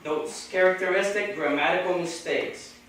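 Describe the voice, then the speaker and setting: A man speaking English with a Brazilian Portuguese accent gives a deliberate, carefully paced academic lecture at a podium. His voice sits a little high for a man. The sound is very echoey and comes from a distance, with faint noise in the hall. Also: fairly bright tone. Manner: measured, deliberate delivery with pauses. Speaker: conference speaker. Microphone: podium microphone.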